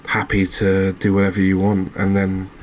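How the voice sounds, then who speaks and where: Low, very even-toned man commentating softly on gameplay. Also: normal speed, a little hiss or hum. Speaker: host of a gaming channel playing a fishing game. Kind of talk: quiet ASMR gameplay commentary.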